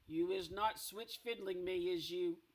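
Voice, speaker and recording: A woman reading aloud and voicing a huge, greedy male giant who bullies, booming loudly in a gruff voice. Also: measured pace, poor audio. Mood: suspicious.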